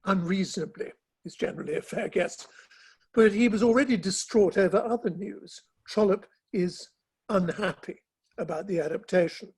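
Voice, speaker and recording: High, husky, understated Victorian gentleman played by a man. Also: moderate pace. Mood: dry irony. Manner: understated, formal. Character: dry, measured Victorian gentleman, mildly ironic confidant. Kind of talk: dramatic play reading.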